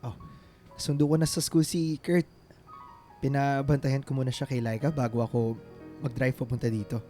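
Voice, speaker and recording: An actor playing a devoted, reassuring suitor in a Filipino radio drama, speaking earnestly and mixing in English. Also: male voice, mid-range voice, little pitch movement, normal speed, warm tone, faint music behind, natural voice. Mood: caring, insistent.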